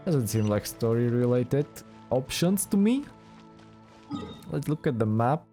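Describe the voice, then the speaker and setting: A man's voice: medium pitch, sing-song, a little slow, warm tone. A man doing casual let's play commentary, wry and musing aloud as he goes. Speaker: gaming let's play host. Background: video game music.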